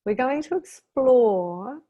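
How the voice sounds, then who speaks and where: A woman guiding a meditation as a teacher, speaking soothingly and gently in short phrases with long pauses. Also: fairly high pitch, lively intonation, husky, narrow, tinny audio. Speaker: guided meditation teacher and intuitive therapist. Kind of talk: guided meditation, slow calming instruction.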